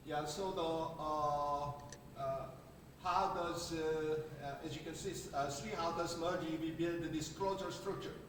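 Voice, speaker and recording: Korean-accented male conference speaker, slightly high voice, stop-start delivery, captured from a distance in a reverberant hall. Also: steady tone, a little hiss or hum. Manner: halting, many filler sounds and restarts. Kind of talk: academic conference talk. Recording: echoey hall recorded from far off.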